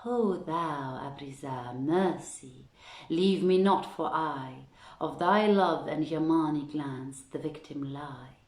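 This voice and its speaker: A woman's voice: sits low, slow, darkish tone. A woman voicing an enraged, lustful male slave from an Arabian storybook tale, declaiming verse in a roomy space. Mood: menacing, lovesick. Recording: roomy home livestream echo.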